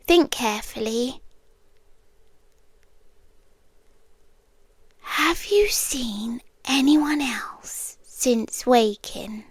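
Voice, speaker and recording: A British woman playing a tender, eerie, possessive doctor in a whispered ASMR roleplay, speaking softly and often dropping into a whisper. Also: high voice, dry recording, full character voice. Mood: uneasy, probing. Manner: soft, hushed, unhurried, often whispering. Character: eerie, tender, possessive female doctor haunting an empty hospital.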